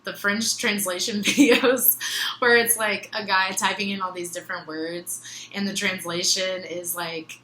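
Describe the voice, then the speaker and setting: A woman's voice: mid-range voice, steady tone, a little slow, sharp tone. A young adult woman whose first language is not English gives an earnest, meandering reflective monologue, with fillers and frequent laughs. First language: other than English. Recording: echoey room, faint ambient noise.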